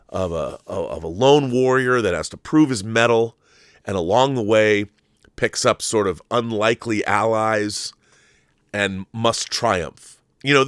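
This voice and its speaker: A man's voice: mid-range voice, fairly bright tone, no echo, clean studio sound. A man hosting a film commentary show, talking in a casual, opinionated monologue with an effusive, emphatic, rambling manner and some swearing.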